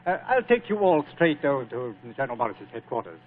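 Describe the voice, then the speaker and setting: An actor playing a courteous, efficient British army captain in a 1940s radio play, clipped and official, with a British accent. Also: male voice, medium pitch, sing-song, quick pace, natural voice. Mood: official, polite. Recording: muffled, narrow-band old radio recording with faint noise.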